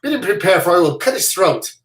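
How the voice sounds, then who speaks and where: A reader puts on a boastful, blustering soldier who barks his threats. The voice is pushed loud, and the room rings with echo. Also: medium pitch, big pitch swings, a little fast. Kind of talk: reading a play aloud. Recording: roomy echo.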